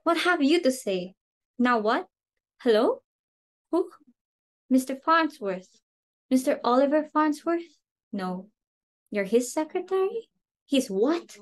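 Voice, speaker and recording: A status-obsessed hostess and mother acted by a woman with a fairly high voice. She is imperious and quick to anger, delivering her lines in exclamatory, indignant bursts, as in an amateur dramatic reading. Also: lively intonation. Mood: furious, scolding.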